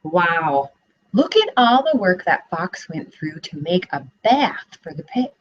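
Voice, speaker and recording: A young woman teacher giving a read-aloud lesson for children, asking questions in an expressive way, recorded on a laptop webcam in a living room. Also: lowish voice, slow, slightly rough. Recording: dull lo-fi webcam audio.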